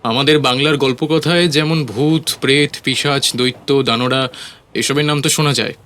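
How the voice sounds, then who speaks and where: Audio story role: young man, earnest writer, everyday medium-pitched voice from a male actor. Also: steady tone, moderate pace, a bit bright, close to an everyday voice. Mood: earnest, explaining.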